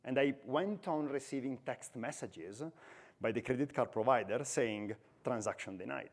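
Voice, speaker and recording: A man's voice: mid-range voice, animated, normal speed. A man in his forties with an Italian accent, giving a chatty, self-deprecating conference talk on stage into a headset mic. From Italy. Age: 40s. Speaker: conference speaker.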